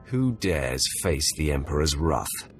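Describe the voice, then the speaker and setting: An actor playing a confident, imposing dark warrior in a video game, speaking in a commanding, cold and defiant manner. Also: male voice, extremely low pitch, big pitch swings, slow pace, exaggerated voice. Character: confident, imposing young Sith warrior, the emperor's enforcer. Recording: music under the voice.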